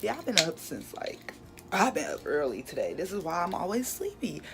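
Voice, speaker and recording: A woman in her late twenties with a raspy voice, chatting candidly to her viewers in a casual morning vlog. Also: lowish voice, huge pitch swings, normal speed. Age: late 20s. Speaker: vlogger. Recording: music playing behind.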